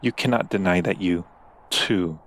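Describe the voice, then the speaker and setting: Guy doing a seductive demon for asmr roleplay, real slow and gravelly, coaxing u all formal and silky. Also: deep voice. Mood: persuasive. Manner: slow, silky persuasion with formal, deliberate wording. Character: seductive, coaxing male demon courting a mortal.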